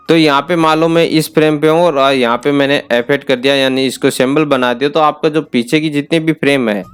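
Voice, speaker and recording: Tutorial host: Indian male, crisp medium-pitched voice, patient step-by-step repetition, light music underneath. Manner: instructional, repeats key points. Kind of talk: step-by-step software tutorial, informal.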